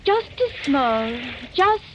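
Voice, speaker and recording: Gushing high-pitched society countess. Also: female voice, big pitch swings, extremely slow, full character voice. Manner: theatrical, affected, effusive. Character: flighty, gushing society countess thrilled by wickedness. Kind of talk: 1940s radio acting. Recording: muffled, hissy vintage radio broadcast.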